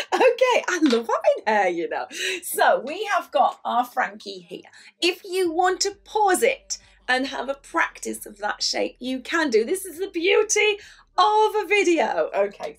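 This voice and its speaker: A middle-aged woman leading a children's drawing lesson in a chatty, encouraging, animated way. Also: fairly high pitch. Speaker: illustrator leading a children's draw-along. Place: small indoor room at a whiteboard.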